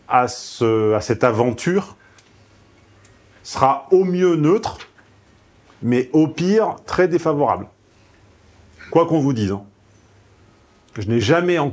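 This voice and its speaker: A man's voice: mid-range voice, a little mellow. A man who grew up in southeastern France giving a paid seminar, frank and salty, telling personal anecdotes. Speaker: seminar speaker. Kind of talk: seminar talk.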